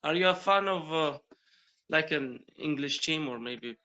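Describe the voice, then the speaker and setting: A man's voice: fairly high pitch, unhurried, sharp tone. A young man from Morocco, an Arabic speaker practicing English in casual conversation, hesitant, with many fillers and restarts. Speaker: primary school teacher practicing English.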